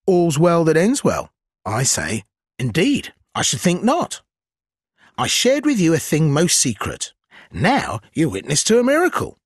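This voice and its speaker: A wildly swooping, sly spider trickster. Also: male voice, on the high side, husky, no room sound, studio quality, heavily acted. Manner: theatrical, wheedling, archaic phrasing, cackling. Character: sly, gleeful, obsequious spider-bodied trickster zealot. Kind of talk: dark gothic fantasy game acting.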